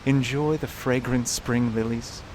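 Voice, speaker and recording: An actor playing an eloquent, defiant Victorian detective in a radio drama, formal, theatrical and pompous. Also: male voice, mid-range voice, fairly even intonation, unhurried, noisy background, lightly acted. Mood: cool, smug. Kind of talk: melodramatic radio drama acting.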